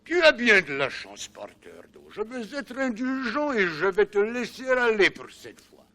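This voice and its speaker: A man's voice: high voice, very animated, unhurried, pushed and bright, exaggerated voice. A man playing a pompous, greedy judge in a French cartoon dub, haughty and demanding.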